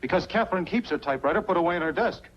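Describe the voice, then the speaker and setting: An actor playing a suave, calculating blackmailer in a 1940s film noir, with an insinuating manner. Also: male voice, high-pitched, expressive, rapid-fire. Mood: feigned reluctance. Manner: smooth, insinuating. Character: smooth scheming male blackmailer, suave and calculating. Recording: dull, faintly noisy 1940s film soundtrack.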